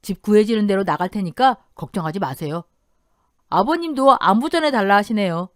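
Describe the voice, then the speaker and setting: A woman's voice: medium pitch, animated, brisk, no echo, clean studio sound, natural voice. Woman playing an earnest, respectful young son-in-law. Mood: reassuring. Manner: deferential, earnest.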